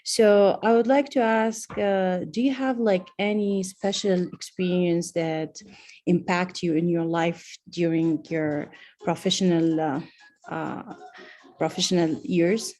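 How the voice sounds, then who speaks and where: Soft-pitched woman interviewer, halting questions. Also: steady tone. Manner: hesitant, searching for words. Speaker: interviewer, doctor. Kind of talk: practice job interview. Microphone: video call.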